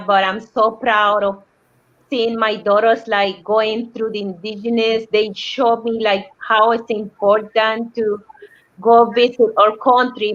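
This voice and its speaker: A middle-aged woman from Colombia with a Spanish accent, speaking English haltingly and searching for words in a casual chat. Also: fairly high pitch, a bit bright. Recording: dull lo-fi sound.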